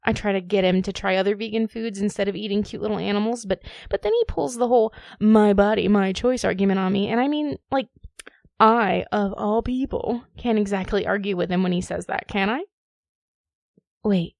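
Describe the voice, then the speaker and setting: A woman's voice: medium pitch, normal speed, creaky, darkish tone, dry recording, clean studio sound, close to an everyday voice. A woman playing a chatty, exasperated vegan griping in a casual comic monologue.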